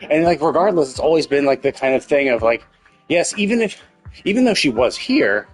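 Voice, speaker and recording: A man's voice: a little high, animated, fairly quick, soft background music, low-quality recording. A man commentating casually on a live game stream, thinking aloud in a hesitant, rambling way and repeating himself, with casual swearing.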